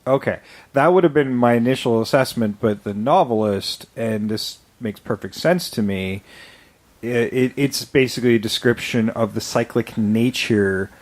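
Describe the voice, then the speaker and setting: A man's voice: mid-range voice, moderate pace. Jokey man hosting a podcast. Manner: jokey, laughs often mid-sentence. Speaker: podcast host. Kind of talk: casual podcast chat.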